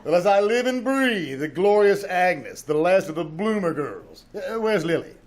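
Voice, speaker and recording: A man's voice: high-pitched, big pitch swings, normal speed. A man playing a pompous, cantankerous older stage-actor husband, blustering and sarcastic in an old-time radio comedy. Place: live performance on a small theater stage.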